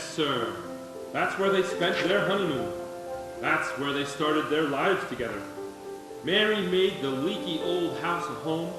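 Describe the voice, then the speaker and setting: A man's voice: a little high, close to an everyday voice. A man playing the storytelling narrator of a small-town tale in a scripted live radio play. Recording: echoey stage with loud music.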